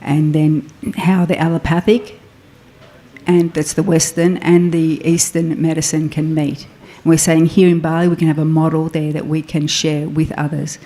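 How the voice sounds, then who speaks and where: Low mellow-voiced woman organizer. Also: flat delivery, normal speed. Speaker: founder, panel organizer. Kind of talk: panel introduction.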